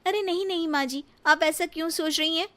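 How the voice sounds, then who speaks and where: A woman puts on a young Indian daughter-in-law in a family moral tale. Her voice is very high, and she is caring and encouraging, coaxing her elder with respect. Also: a little fast, sharp tone. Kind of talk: acted dialogue in a comic moral story.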